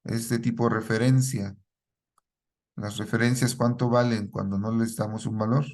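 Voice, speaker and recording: A middle-aged man teaching a programming class, quizzing students and checking in with a quick "¿sí?" after each point. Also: fairly low pitch, level tone, a little mellow. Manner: asks the class questions and repeats their answers, ends lines with a checking "¿sí?". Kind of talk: live classroom lesson.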